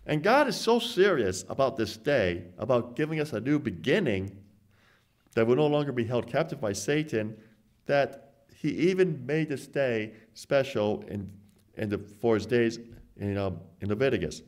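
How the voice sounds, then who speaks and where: Middle-aged man, lay preacher at a lectern mic, emphatic, slow scripture reading, mid pitch. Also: very animated. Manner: earnest, emphatic, slows down to read scripture aloud. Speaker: church speaker. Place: church hall, standing at a lectern. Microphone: lectern microphone.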